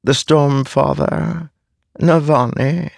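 A man voicing a looming dark god in a fantasy audiobook, taunting with mock familiarity and drawn-out questions. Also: on the high side, steady tone, gravelly, no room sound, studio quality, pitched above the performer's own voice, heavily acted. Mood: menacing taunting.

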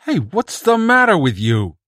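A man voicing a huge broad-chested male mutant who speaks loudly, harshly and with contempt. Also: medium pitch, big pitch swings, a little slow, no room sound, clean studio sound, pitched above the performer's own voice. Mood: urgent.

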